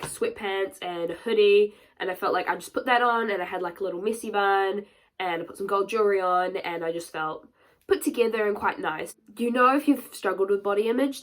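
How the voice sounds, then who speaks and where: A young woman with a stuffed-up nose from a cold, chatting earnestly to camera with a lot of "like" in her talk. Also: medium pitch. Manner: chatty and earnest, many "like" fillers. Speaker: vlogger. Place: sunny room at home. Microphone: camera a little way back.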